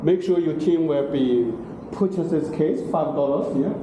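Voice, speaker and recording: A man's voice: fairly high pitch, moderate pace. Man, middle-aged, classroom lecturer, broken English, noisy hall recording. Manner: broken English, frequent "okay?" and "right?" check-ins. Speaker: university lecturer. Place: large classroom. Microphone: far room camera. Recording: very echoey classroom from far off, noisy.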